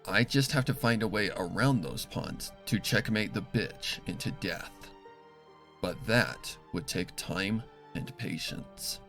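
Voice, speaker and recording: Man, low voice, serious, deliberate, dramatic story narration, music underneath. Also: expressive, gravelly, a bit bright. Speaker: fan fiction reader. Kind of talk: dramatic scripted first-person story narration.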